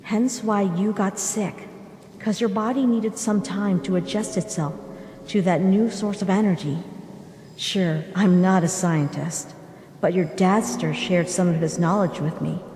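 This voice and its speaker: A woman voicing a gentle, wistful monster mother back from death, speaking tenderly and reflectively in a dramatic comic dub. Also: mid-range voice, steady tone, a little slow, a little hiss or hum, lightly acted. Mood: gentle explaining. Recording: reverberant hall echo, music behind.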